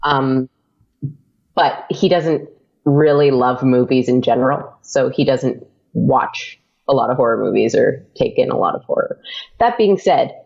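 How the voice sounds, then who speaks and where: A woman's voice: a bass voice, measured pace, creaky, a little mellow, dull audio. A woman chatting casually as an interview guest. She talks freely with lots of fillers and laughs often. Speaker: podcast guest.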